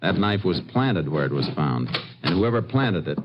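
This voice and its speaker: An actor with an American accent playing a steady, shrewd Texas Ranger in a terse, matter-of-fact radio drama style. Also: male voice, low voice, normal speed, background noise. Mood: probing. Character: steady, shrewd Texas Ranger investigator narrating his case. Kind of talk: 1950s radio drama acting. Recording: muffled vintage radio with music.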